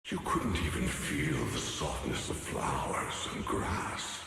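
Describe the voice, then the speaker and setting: An actor playing a grave, solemn male warrior monk in a video game, speaking in slow, formal, weighty phrases. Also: rumbling low, very animated, pushed and bright, exaggerated voice. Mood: ominous, accusing. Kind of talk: scripted video game voice acting. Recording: heavily echoing game audio with music.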